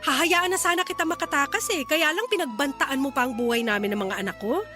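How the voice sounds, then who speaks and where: A woman's voice: high-pitched, quick pace, over music, lightly acted. An actress with a Filipino accent playing a fed-up, fiery mother in an emphatic radio drama style. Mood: firm, cold. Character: fed-up, fiery mother confronting her lying ex.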